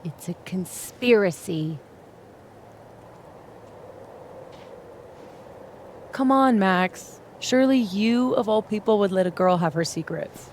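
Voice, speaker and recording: A woman's voice: medium pitch, moderate pace, darkish tone, slight background noise, natural voice. A woman playing a sly, secretive young woman in a video game, with a dry, teasing, drawling delivery. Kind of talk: naturalistic video game acting.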